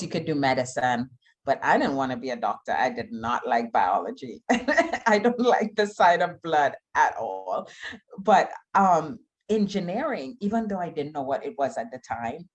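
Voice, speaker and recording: A middle-aged woman from Trinidad and Tobago, an aerospace engineer giving an online talk in an earnest, measured way with frequent fillers. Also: lowish voice, darkish tone. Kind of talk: online talk answering audience questions.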